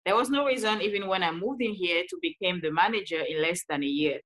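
A woman from Cameroon with a Cameroonian accent, telling her story as an interview guest in an animated way with frequent laughs. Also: on the low side, fast. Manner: animated, laughs often, restarts sentences. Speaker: guest, life coach.

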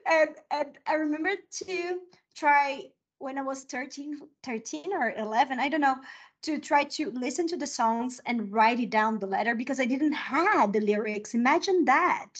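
An enthusiastic woman with a Brazilian Portuguese accent and a slightly high voice, chatting on a podcast. She tells her stories with animation, and English is her second language. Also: moderate pace, slightly rough, dull audio. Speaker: guest, 3D modeling specialist. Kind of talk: casual podcast chat.

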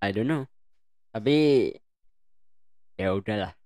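A man's voice: on the low side, animated, takes it slowly, darkish tone, no echo. Playful young man chatting, dry mic. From Bandung, Indonesia. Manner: playful, laughs often, slangy. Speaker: co-host. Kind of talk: casual podcast chat.

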